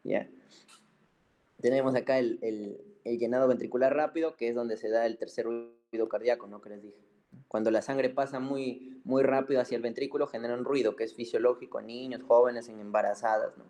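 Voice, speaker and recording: A man teaches a medical class in a mellow, relaxed voice. He explains informally and colloquially, repeats key points and keeps adding little check-in tags for his students. His pitch sits in a middle male range. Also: brisk. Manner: didactic, colloquial, with frequent check-in tags and repetition.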